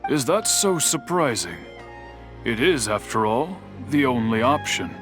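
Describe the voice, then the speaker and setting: Game role: man as stern older craftsman, deliberate and courteous, animated contours, faint music behind. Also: mid-range voice, natural voice. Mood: calm, formal. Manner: formal, deliberate, admonishing. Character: stern, measured, kindly older master craftsman. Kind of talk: anime-style video game voice acting.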